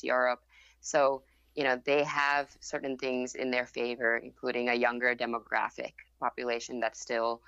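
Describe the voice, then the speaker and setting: A young woman from Thailand, whose first language is Thai, answers interview questions in a measured way, leaning on "you know" and "um". Her voice has a gravelly edge and a bright tone. The sound is dry and a little dull, as from a remote call. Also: extremely low pitch, dry recording. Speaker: guest, fund founder. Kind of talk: podcast interview.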